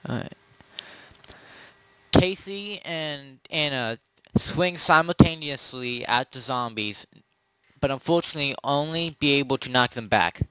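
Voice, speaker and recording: A man improvising casual narration as game master of a role-play, often halting and correcting himself. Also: mid-range voice, fairly bright tone, clear, resonant tone, no room sound, rough recording.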